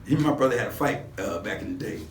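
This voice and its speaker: A man's voice: on the low side, animated, fast, slight background noise. A man in his sixties from Los Angeles, reminiscing as an interview guest in rambling street slang with many restarts. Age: 60s. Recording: echoey room, mic at a distance.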